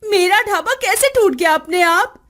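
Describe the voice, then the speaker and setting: A scheming, jealous eatery keeper, played by a woman in a cartoon moral tale. She has a high voice and draws out her words with theatrical spite. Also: lively intonation, unhurried, a bit bright, full character voice. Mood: shocked. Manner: dramatic, spiteful, exclaiming. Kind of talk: Hindi animated moral-story dialogue.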